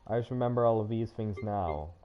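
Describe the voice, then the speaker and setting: A man doing casual, rambling livestream game commentary, thinking out loud to himself. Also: lowish voice, a little fast, lo-fi sound. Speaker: game streamer. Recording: loud game music behind voice. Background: video game music.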